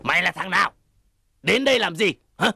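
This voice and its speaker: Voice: medium pitch, animated, fairly quick, vocal fry, pushed and bright, slight background noise. An actor playing a sly, deceitful cartoon weasel who wheedles like a friend and boasts like a crook. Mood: menacing, mocking. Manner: wheedling, swings into boastful cackling. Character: sly deceitful weasel, fake-friendly, gloating when triumphant. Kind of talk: Vietnamese children's cartoon acting.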